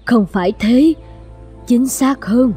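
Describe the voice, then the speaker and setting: A woman's voice: a little high, slow pace, dark tone, faint music behind. A woman voicing a humble, troubled middle-aged servant mother in a cartoon fairy tale, earnest and pleading. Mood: earnest persuading.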